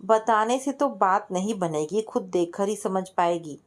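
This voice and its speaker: A woman's voice: medium pitch, unhurried, lightly acted. Playful young female cousin, voiced by a woman narrator: breezy, joking banter, storybook family drama.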